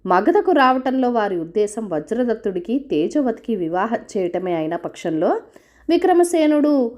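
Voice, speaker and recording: Storyteller, female. Highish voice, very animated melody, scripted folk-tale narration. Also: normal speed.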